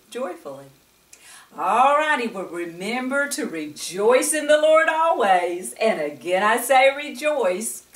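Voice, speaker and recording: An older woman reading a story and teaching a Bible lesson to children in a grandmotherly way, with questions and asides. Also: medium pitch. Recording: echoey room, distant microphone.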